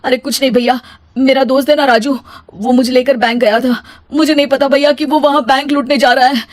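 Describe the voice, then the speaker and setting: A woman's voice: sits high, steady tone, fairly quick, voice pushed up, natural voice. A woman voicing a distressed, pleading younger brother in a melodramatic cartoon story. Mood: panicked pleading.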